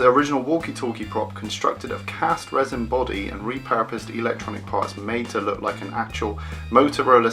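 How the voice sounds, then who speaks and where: A man giving a casual close-up unboxing commentary as a prop collector, thinking aloud with hesitant pauses. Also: lowish voice, pushed and bright.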